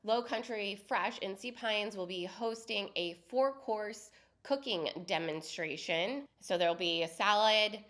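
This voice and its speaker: A woman's voice: medium pitch, measured pace, fairly bright tone. A woman co-hosting a podcast with a head cold, chatting through a list of local events in a casual, friendly way. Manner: chatty, lists events and details conversationally. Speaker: podcast co-host.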